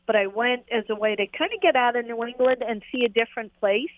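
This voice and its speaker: A woman's voice: a little high, steady tone, quick pace, no room sound. A woman and former jockey, telling stories in a rambling, casual interview with frequent laughs and fillers. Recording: tinny phone line.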